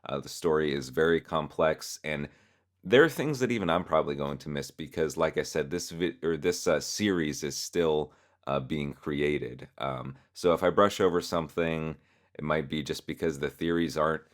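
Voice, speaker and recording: Very deep-voiced man explaining a story. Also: normal speed. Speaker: host explaining a horror web series. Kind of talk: explainer narration, partly scripted.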